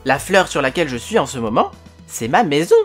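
A woman voicing a tiny, cheerful male forest elf with a high, squeaky voice, reading a storybook. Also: a little fast, soft background music. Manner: chatty, polite, excitable.

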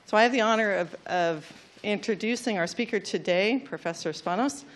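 A woman's voice: mid-range voice, normal speed, slight background noise. A woman hosting a university seminar, reading an introduction of the speaker from notes into a handheld mic in an auditorium. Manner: reads from notes, apologetic, laughs at own slip. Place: large lecture auditorium. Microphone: handheld microphone.

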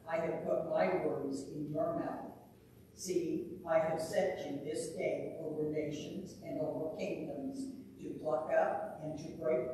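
A man reading scripture aloud at a church service in a solemn, measured way with pauses, heard from a distance in the sanctuary. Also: fairly high pitch. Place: medium-sized church sanctuary, indoors. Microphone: distant from the camera. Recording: echoey church, distant mic, noisy.

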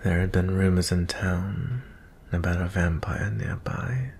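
A man playing a gentle, merciful young prince in an intimate ASMR fantasy roleplay, soft-spoken and hesitant, often slipping into whispers. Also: deep voice, a little slow, a little hiss or hum. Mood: apologetic, gentle. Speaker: audio roleplay performer.

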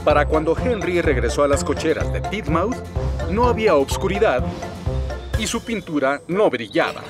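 A man's voice: on the high side, expressive, moderate pace, lightly acted. A man with a Latin American Spanish accent narrating a children's story expressively. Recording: music behind the voice.

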